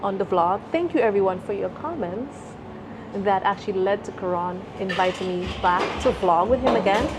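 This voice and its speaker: A woman from Trinidad, a guest on a casual vlog, polite and quick to laugh as she chats at a rooftop bar. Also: mid-range voice, expressive, very noisy. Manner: warm, laughs readily, polite. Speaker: guest, business owner. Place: busy rooftop bar in a city.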